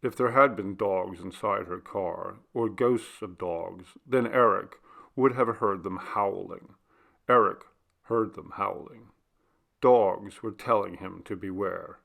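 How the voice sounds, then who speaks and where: A man reading a literary short story aloud as narration, with a gravelly voice. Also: fairly low pitch, takes it slowly, fairly bright tone. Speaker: audiobook reader.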